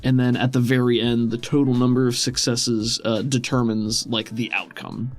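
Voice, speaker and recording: A man's voice: medium pitch, little pitch movement, normal speed. A man acting as game master, casually explaining and narrating a tabletop game in a conversational way.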